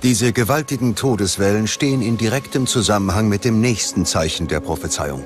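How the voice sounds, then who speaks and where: A man reading scripted documentary narration in a measured, dramatic manner. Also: on the low side. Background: background music.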